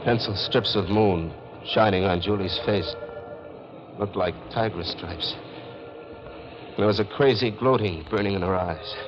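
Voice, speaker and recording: A man's voice: fairly low pitch, unhurried, music in the background, natural voice. An actor playing a world-weary, hard-boiled man in a 1940s radio noir, terse and dramatic in narration and dialogue. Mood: grim narration. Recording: muffled, noisy 1940s radio recording.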